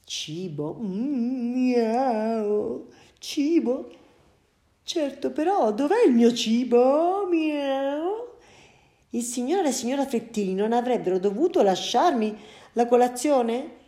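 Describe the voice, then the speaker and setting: A woman's voice: on the high side, expressive, slow pace, dark tone, voice pushed up. Woman doing a grumbling house cat. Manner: muttering to himself, peevish. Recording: roomy echo.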